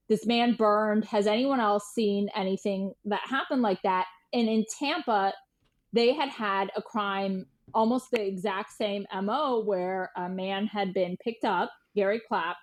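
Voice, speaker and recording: Woman, journalist guest, conversational storytelling, informal wording, emphatic delivery, mid-range pitch. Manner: informal, emphatic, repeats words for stress.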